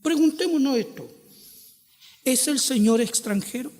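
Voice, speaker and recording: A man's voice: very high-pitched, a little slow, a little mellow, in a large hall. A Chilean man preaching a sermon, posing rhetorical questions and stretching out quoted scripture with emphasis. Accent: Chilean Spanish. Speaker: pastor.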